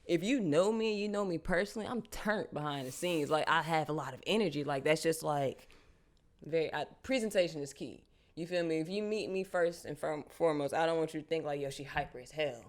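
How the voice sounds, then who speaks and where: A young woman from Charlotte, a guest artist talking casually in an interview, animated and full of slang. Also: low-pitched, fairly quick. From United States, Charlotte. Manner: animated, casual slang, frequent "you feel me".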